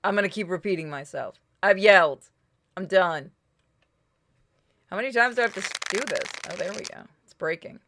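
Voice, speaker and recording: A woman's voice: mid-range voice, moderate pace, long pauses, a bit bright. A woman giving casual, blunt commentary as she plays through a game. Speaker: game streamer. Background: game music.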